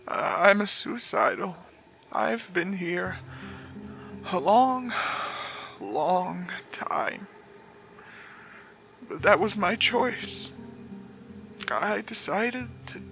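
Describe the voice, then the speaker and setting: A woman voicing a weary, cowardly damned soul in a horror story, speaking slowly and haltingly with drawn-out pauses. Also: medium pitch, creaky, a bit bright, pitched below the performer's own voice, heavily acted. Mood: weary, resigned. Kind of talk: horror story character acting.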